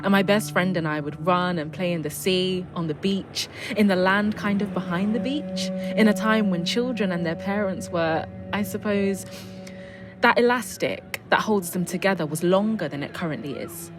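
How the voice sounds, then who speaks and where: A woman's voice: lowish voice, moderate pace. A middle-aged woman with a southern English accent, sharing personal memories in a thoughtful, hesitant, reflective way. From south coast of England. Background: music.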